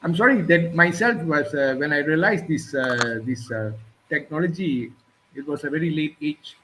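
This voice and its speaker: A man's voice: on the high side, normal speed, sharp tone, slight background noise, low-quality recording. Hesitant man, slightly raised voice. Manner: hesitant, many "uh" fillers and restarts. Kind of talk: casual conversation.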